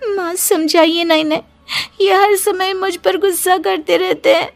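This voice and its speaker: Woman as a dutiful young daughter, tender-hearted, high pitch, storybook dialogue, music underneath. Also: steady tone, unhurried, gravelly, no room sound, heavily acted. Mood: upset, defensive. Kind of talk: moral story character dialogue. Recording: music behind the voice.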